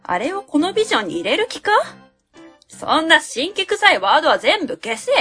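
A woman's voice: high voice, sing-song, normal speed, bright, forceful tone, faint music behind, exaggerated voice. A woman playing a pompous, self-assured consultant who gives commanding advice in a web radio drama.

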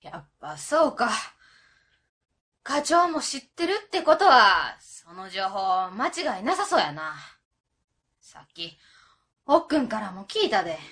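A woman's voice: mid-range voice, very animated, unhurried, long pauses, fairly bright tone. A woman playing a blunt, hot-tempered middle-aged auntie with a Kansai accent in a radio drama, talking in a brash, scolding way. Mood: grave, reproachful. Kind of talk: web radio drama acting.

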